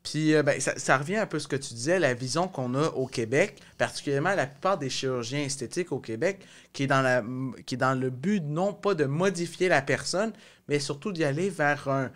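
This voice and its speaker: A man's voice: a little high, fairly quick, a bit bright. A young man with a Québécois French accent hosting a casual discussion, rephrasing as he searches for his wording, on a studio mic. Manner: searching, roundabout, repeats and rephrases. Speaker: podcast host. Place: small indoor podcast studio. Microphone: close studio microphone.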